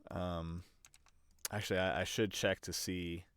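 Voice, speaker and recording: Slow, low-voiced man thinking aloud. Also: steady tone, a bit bright, clean tone, dry recording, studio quality. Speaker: audio production demonstrator. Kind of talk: casual unscripted screen-recorded tutorial. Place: indoors at a computer.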